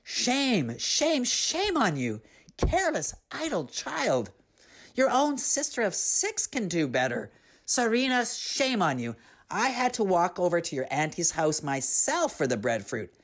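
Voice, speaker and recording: A woman voicing a stern, angry mother scolding her careless daughter in a storybook tale, sharp and commanding. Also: medium pitch, wildly swooping pitch, a little slow, pitched above the performer's own voice, full character voice. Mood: furious, scolding. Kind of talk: storybook character voice.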